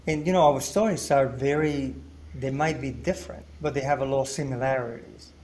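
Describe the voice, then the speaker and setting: A man's voice: medium pitch, brisk, a little mellow. Confident man explaining, with faint hiss. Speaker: podcast guest. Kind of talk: casual conversation. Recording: faint background noise.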